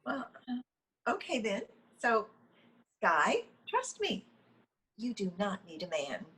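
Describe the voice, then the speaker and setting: A woman's voice: mid-range voice, wildly swooping pitch, takes it slowly, a bit bright, full character voice. A woman playing a frazzled, defensive, lovestruck goddess in a comedy table reading, flustered and insistent. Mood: preachy. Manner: flustered, insistent, nervous laughs. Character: frazzled, defensive, delusional lovestruck goddess Luella, meeting leader.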